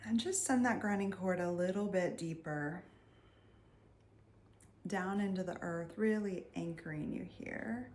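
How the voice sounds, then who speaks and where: Slow, soothing woman guiding meditation. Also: lowish voice, a little mellow. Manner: soothing, unhurried, with long pauses between phrases. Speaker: meditation guide and energy healer. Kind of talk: guided meditation.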